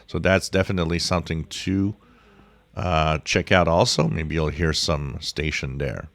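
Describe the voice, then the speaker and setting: Deep gravelly guy talking thru a tutorial, unhurried, thinking out loud. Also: no room sound. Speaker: shortwave radio hobbyist presenting a tutorial. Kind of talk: casual explanatory screen-share tutorial.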